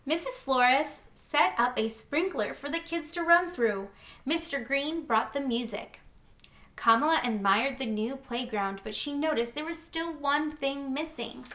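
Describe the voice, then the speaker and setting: High, bright woman reading a children's storybook. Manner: deliberate, expressive, stretches key words. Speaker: children's read-aloud host. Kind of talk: animated storybook read-aloud for children.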